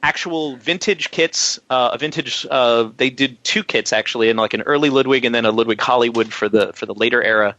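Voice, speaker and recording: A man's voice: mid-range voice, fairly quick, few pauses, sharp tone, dry recording, highs cut off. A man speaking as a musician guest in an interview, telling detailed, chatty anecdotes.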